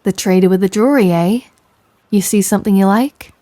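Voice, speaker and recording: A woman's voice: medium pitch, a little fast, dark tone, no echo, lightly acted. A woman playing a tender but commanding female pirate captain in an intimate audio roleplay, with a stage pirate accent and teasing sailor slang. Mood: teasing, insistent. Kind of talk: scripted roleplay audio, intimate second-person address.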